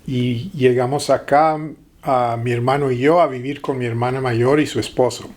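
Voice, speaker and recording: A middle-aged man from the Texas-Mexico border, a guest in an interview, speaking with hesitant pauses and fillers. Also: mid-range voice, fairly even intonation, darkish tone. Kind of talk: interview conversation.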